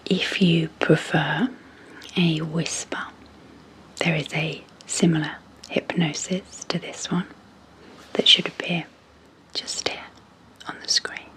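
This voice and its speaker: A woman speaking in a breathy half-whisper as an ASMR host, slowly and gently, with long pauses, introducing sleep hypnosis. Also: sits low, level tone, low-quality recording.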